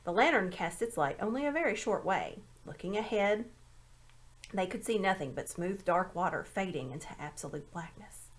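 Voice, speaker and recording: A woman narrates a storybook with an animated delivery. Her mid-pitched voice moves freely up and down as she reads each scene. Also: moderate pace. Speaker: amateur audiobook reader. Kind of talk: expressive storybook read-aloud narration.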